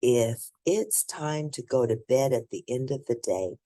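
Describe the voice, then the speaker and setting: A woman's voice: rumbling low, animated, unhurried, dark tone, dry recording, studio quality, full character voice. Lady doing a scheming little storybook boy, whiny pleading and grumbling, voice all over the place.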